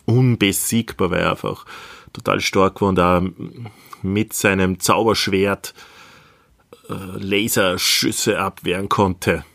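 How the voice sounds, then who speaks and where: A man's voice: low voice, fairly even intonation, slow pace, creaky, a bit bright. A middle-aged Austrian man chatting casually as a podcast co-host, reminiscing in an easy back-and-forth.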